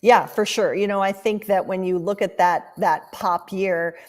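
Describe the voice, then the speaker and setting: A woman's voice: medium pitch, fairly even intonation, a little slow. A woman giving conversational sports analysis as a studio analyst guest.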